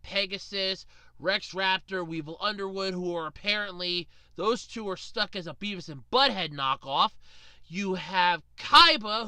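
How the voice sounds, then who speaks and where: A woman's voice: mid-range voice, sharp tone, no echo. A woman delivering an opinionated rant to viewers in an exasperated, sarcastic and emphatic manner. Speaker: video ranter.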